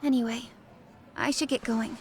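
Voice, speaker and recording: A woman's voice: a little high, steady tone, moderate pace, a little hiss or hum, natural voice. An actress playing a reserved teenage schoolgirl, speaking in a subdued, hesitant way in a video game. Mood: conflicted, subdued. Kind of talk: video game cutscene acting.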